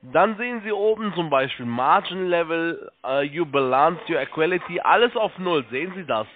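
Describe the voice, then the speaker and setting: Guy with a highish voice on a thin phone line, call center agent walking someone through steps, keeps repeating himself. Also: expressive, a little hiss or hum. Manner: halting, repeats himself, spells things out slowly. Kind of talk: step-by-step phone sales instructions. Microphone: telephone. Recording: tinny narrow phone line.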